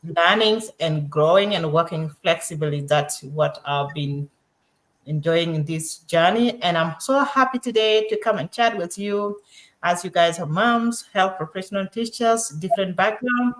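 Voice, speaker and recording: A woman's voice: lowish voice, unhurried. A woman nurse from South Sudan introducing herself with enthusiasm on a video call from home late at night.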